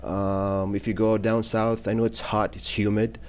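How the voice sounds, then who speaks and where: A man's voice: on the low side, flat delivery, unhurried, slight background noise. A man in his thirties from Iraq, a guest answering interview questions in a hesitant way with many fillers and restarts. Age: 30s.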